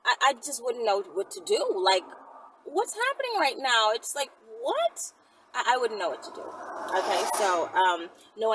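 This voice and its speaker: A woman's voice: mid-range voice, fairly bright tone. A woman hosting a casual question-and-answer video, speaking in a frank, conversational and emphatic way. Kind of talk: casual chat. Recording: distant mic with clear background noise.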